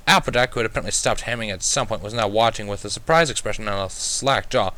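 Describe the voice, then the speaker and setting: A man's voice: lowish voice, fast talker, sharp tone. A man reading a first-person story aloud as an amateur narrator, in a wry, dry manner. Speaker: amateur fan fiction reader.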